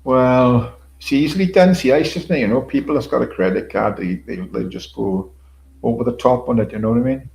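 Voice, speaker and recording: A man's voice: medium pitch, moderate pace, creaky, darkish tone, poor audio. An older man hosting a casual livestream, rambling, repeating himself, swearing casually and chuckling to his viewers. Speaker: livestream host. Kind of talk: casual solo livestream chat.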